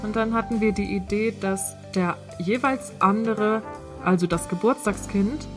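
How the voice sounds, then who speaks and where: A woman in her twenties co-hosting a podcast in casual chat, giggly and excitable. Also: medium pitch, measured pace, over music. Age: 20s. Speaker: podcast co-host.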